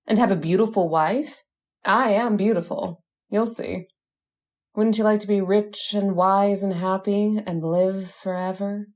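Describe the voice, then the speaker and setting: A woman's voice: mid-range voice, steady tone, unhurried, a little mellow, close to an everyday voice. Seductive, commanding witch, played by an actress. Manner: coaxing, imperious, teasing. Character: seductive, commanding immortal witch bride, eerily coy. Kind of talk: old-time radio horror acting.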